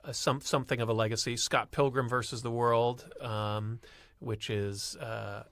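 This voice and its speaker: A man's voice: medium pitch, level tone, normal speed, fairly bright tone, no echo. A man chatting casually as a panelist on a podcast. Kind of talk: casual discussion.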